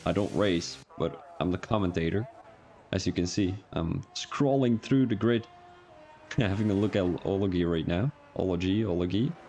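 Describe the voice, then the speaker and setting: A man's voice: low voice, normal speed, warm tone, soft background music. A young man from Belgium with an Antwerp Flemish accent, chatting casually and rambling as a racing livestream commentator, chuckling and correcting himself as he goes. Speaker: race commentator.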